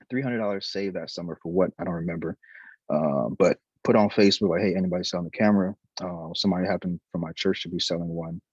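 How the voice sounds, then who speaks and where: Young guy from liberia, low voice, lots of um and like, dull muffled call audio. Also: husky, no room sound. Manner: hesitant, many fillers and restarts. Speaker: photographer, interview guest. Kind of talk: casual career interview.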